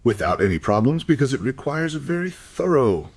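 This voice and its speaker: An actor playing a shady, evasive dealer in a crime radio drama, with a condescending, menacing manner. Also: male voice, mid-range voice, sing-song, fairly quick, slightly rough. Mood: sly, menacing.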